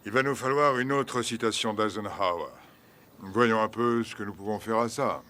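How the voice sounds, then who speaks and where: A man's voice: lowish voice, moderate pace, bright, forceful tone. A man playing a speechwriter who muses aloud in a thoughtful way. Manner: musing, thoughtful.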